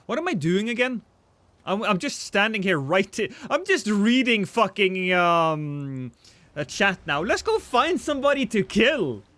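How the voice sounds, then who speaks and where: A man's voice: high-pitched, very animated, a little slow, sharp tone, dry recording. Man, game streamer, joking, chatty, dry close sound. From Norway. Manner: joking, chatty, reads chat aloud. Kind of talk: casual live-stream chat while gaming.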